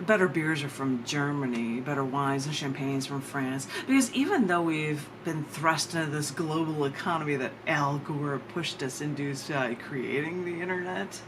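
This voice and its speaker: A woman with an American accent giving a live poetry reading, with theatrical, drawn-out emphasis on her words. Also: low voice. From United States. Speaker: poet. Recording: clear background noise.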